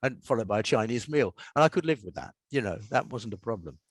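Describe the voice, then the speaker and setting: Older English male interviewee, mid-pitched, measured and emphatic storyteller, very little room sound. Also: expressive, dry recording, studio quality. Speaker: author and radio scriptwriter being interviewed. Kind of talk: conversational anecdotes and explanation.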